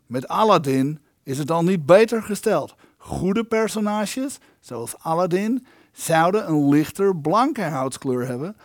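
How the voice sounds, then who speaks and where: An actor playing the indignant ghost of an elderly film maker in an audio drama, wordy, aggrieved and theatrical. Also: male voice, a little high, expressive, unhurried, dry recording, clean studio sound. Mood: indignant, bitter.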